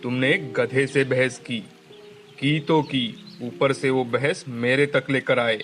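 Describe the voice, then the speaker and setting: A man voicing a stern, wise big cat of the jungle in an animated moral story, with measured, emphatic phrasing. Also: medium pitch, faint music behind.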